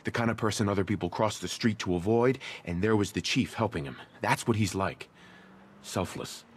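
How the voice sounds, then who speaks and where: An actor playing a shaken, loyal firefighter in a video game, speaking earnestly. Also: male voice, fairly low pitch, normal speed, husky. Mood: admiring, somber. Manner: earnest, relieved, worried. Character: shaken, loyal male firefighter rescued from captors.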